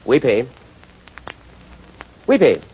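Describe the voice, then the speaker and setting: An actor playing a smug, boastful crime boss with a deliberate, commanding manner in a 1940s radio drama. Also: male voice, mid-range voice, huge pitch swings, full character voice. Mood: smooth menace. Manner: deliberate, commanding, repeats himself. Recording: muffled, noisy 1940s radio recording.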